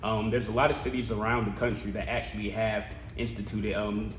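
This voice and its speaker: Earnest man, low voice, echoey room. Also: flat delivery. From Columbia, South Carolina. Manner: earnest, measured, many "um" pauses. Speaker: city council candidate. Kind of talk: interview answers.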